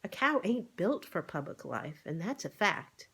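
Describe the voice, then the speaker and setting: A woman's voice: on the low side, very animated, measured pace, a bit bright, slightly rough, exaggerated voice. A woman voicing a big, modest, sensible cow in an animal storybook, plain-spoken and a little hesitant. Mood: troubled. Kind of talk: animal storybook read-aloud.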